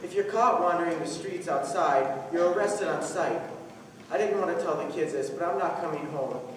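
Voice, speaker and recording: A man's voice: on the high side, normal speed, lightly acted. A man on stage playing a worried, loving father stranded abroad. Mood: grim, helpless. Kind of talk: stage drama dialogue. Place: on stage in a large hall. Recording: echoey hall from far off, faint noise.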